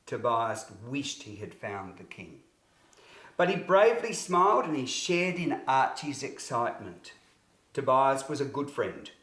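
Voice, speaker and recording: Slow, echoey man reading a children's story. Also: medium pitch. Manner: expressive, deliberate, emphatic phrasing for young children. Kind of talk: scripted children's story narration. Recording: roomy, echoing sound.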